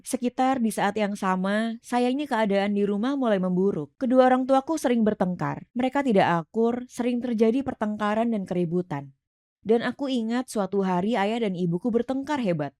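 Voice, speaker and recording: A woman's voice: medium pitch, mellow, dry recording, clean studio sound. A middle-aged woman from East London, telling her life story in an earnest, reflective interview. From East London, England. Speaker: interview guest. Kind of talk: personal testimony in an interview.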